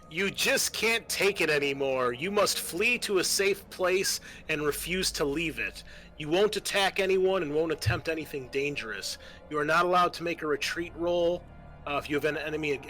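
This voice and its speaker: A man narrating and refereeing a tabletop role-playing game as game master, explaining rules in a halting way. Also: on the high side, bright, forceful tone, low-quality recording.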